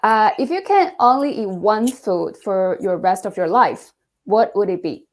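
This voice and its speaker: A woman hosting a casual podcast interview. She asks quick icebreaker questions and laughs often. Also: medium pitch, lively intonation. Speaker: podcast host.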